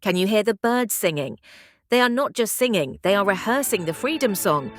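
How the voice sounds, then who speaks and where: A woman's voice: mid-range voice, animated, normal speed, no echo, studio quality, natural voice. A woman playing a defiant, taunting female bird in an audio play, speaking in a declamatory way. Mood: mocking. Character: defiant, mocking female bird, disillusioned former propagandist. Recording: music behind the voice.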